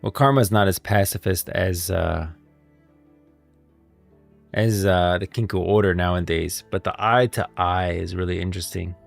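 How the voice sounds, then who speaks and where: Low-voiced man streaming, faint music behind. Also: steady tone, vocal fry, no echo. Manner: rambling, thinking aloud, pauses to read out lines. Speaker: streamer and game lore commentator. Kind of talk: casual live stream commentary.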